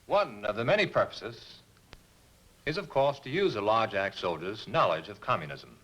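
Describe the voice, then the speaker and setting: Low-pitched army colonel, formal. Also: male voice, expressive, moderate pace, a bit bright, rough recording. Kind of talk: scripted studio interview.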